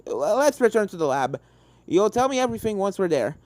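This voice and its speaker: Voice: mid-range voice, big pitch swings, brisk, darkish tone, dry recording, natural voice. A narrator voicing a kindly, serious male pony professor who explains things formally in a read-aloud story. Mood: reassuring.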